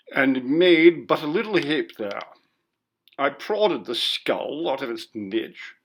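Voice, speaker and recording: Man playing a pompous, creaky college bursar. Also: on the high side, pitched above the performer's own voice, heavily acted. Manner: pompous, curt, disapproving. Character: curt, pompous, complaining college bursar, male. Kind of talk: storytelling in character, classic ghost story.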